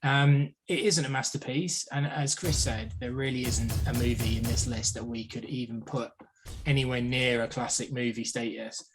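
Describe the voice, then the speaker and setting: A man's voice: medium pitch, steady tone, moderate pace, music in the background, rough recording. A man in his forties chatting casually as a podcast panellist about films, emphatically repeating phrases for effect. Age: 40s. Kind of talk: casual film discussion.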